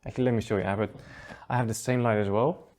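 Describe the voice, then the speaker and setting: A man's voice: lowish voice, steady tone, racing, darkish tone. A man hosting a casual review show, easygoing and chuckling often as he trails off and restarts sentences. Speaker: aquascaping channel host.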